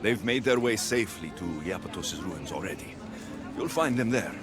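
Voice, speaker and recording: An actor playing a blunt, guarded mercenary hero with a dry, sardonic delivery in a video game cutscene. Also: male voice, fairly low pitch, expressive, normal speed, close to an everyday voice. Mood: matter-of-fact. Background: background music.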